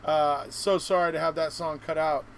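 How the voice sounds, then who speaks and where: A man's voice: a little high, little pitch movement, normal speed, a little hiss or hum. A man hosting a live stream in a casual, chatty style.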